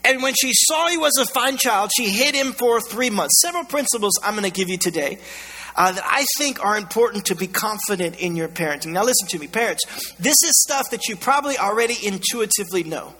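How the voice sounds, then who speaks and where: High, scratchy-voiced American preacher man in a hall. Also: lively intonation, brisk, sharp tone. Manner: emphatic, colloquial, repeats phrases for emphasis. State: scratchy, strained voice going hoarse. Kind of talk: sermon. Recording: long hall reverb.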